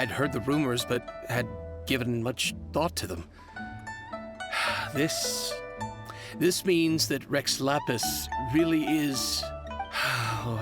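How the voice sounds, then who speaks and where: A man playing a polite, sentimental jade merchant in a video game, hesitant and wistful in manner. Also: medium pitch.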